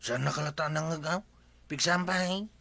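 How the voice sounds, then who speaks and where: A man's voice: fairly high pitch, normal speed, creaky, pushed and bright, heavily acted. A man acting the teasing, chatty nephew of a village captain in an Ilocano comedy drama. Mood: amused. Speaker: radio comedy actor.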